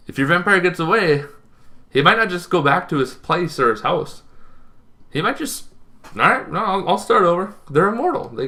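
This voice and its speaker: Bright-voiced young man explaining informally. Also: on the high side. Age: young adult. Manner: animated, conversational, thinks aloud with asides. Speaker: host of a tabletop roleplaying advice channel. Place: small bedroom, indoors.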